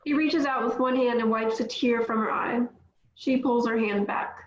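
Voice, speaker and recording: In a very roomy recording with faint background noise, a woman reads screenplay action lines. Her voice is fairly high, delivering scripted narration of stage directions. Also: fairly even intonation, moderate pace, muffled recording.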